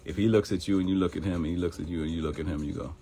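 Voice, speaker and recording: A man's voice: low-pitched, fairly even intonation, very fast, dark tone, soft background music. A middle-aged man with a Baltimore accent hosting a live morning show in casual talk, addressing viewers directly and acting out quoted voices.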